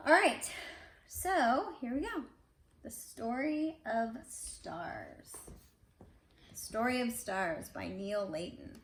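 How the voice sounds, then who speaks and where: A woman with a fairly high voice reads a children's book aloud. She slips between the text and chatty asides to a child. The room is echoey, with faint noise behind her. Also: expressive, slow pace. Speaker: parent reading storybook aloud. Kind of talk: casual read-aloud story time for kids. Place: indoors at home. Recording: roomy echo, clear background noise.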